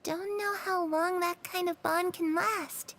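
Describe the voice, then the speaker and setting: A woman voicing a gentle, earnest ghostly young girl in a video game who sometimes sinks into an eerie whisper. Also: extremely high pitch, fairly even intonation, slow, slight background noise, heavily acted.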